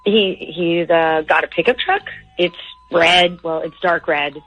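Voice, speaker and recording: A woman's voice: on the low side, fairly even intonation, measured pace, dull audio, soft background music. A woman calling in to a radio show and talking candidly about her own life. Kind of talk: candid conversation on a radio call-in.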